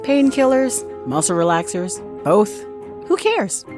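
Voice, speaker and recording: A woman's voice: mid-range voice, sing-song, unhurried, over music. A woman playing a nurse in an audio drama, narrating theatrically in the first person, soothing on the surface and vengeful underneath. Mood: bitter contempt. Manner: theatrical, swinging between cooing reassurance and private contempt. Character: vengeful nurse, sweetly soothing outside, cold and bitter within.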